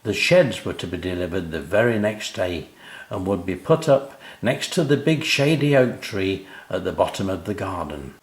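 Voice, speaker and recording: Animated older British male storyteller. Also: low-pitched, normal speed, reverberant. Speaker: storyteller reading his own children's story. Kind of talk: expressive children's story narration.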